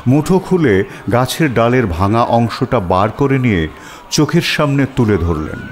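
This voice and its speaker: A man narrating a scripted detective story as an expressive, descriptive storyteller. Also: medium pitch, unhurried, slight background noise.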